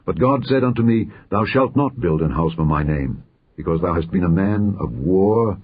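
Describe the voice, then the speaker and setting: A man reads scripture aloud in a solemn, measured, formal manner, letting his phrases fall at the end. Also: low voice, animated, husky, phone-quality sound. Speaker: scripture reader.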